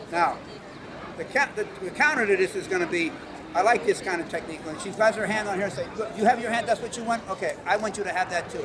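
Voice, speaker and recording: A man teaching self-defense as an instructor, informally talking through each move outdoors. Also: high voice. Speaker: martial arts instructor. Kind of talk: hands-on self-defense demonstration. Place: outdoors on public concrete memorial steps. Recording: outdoor city noise, people around.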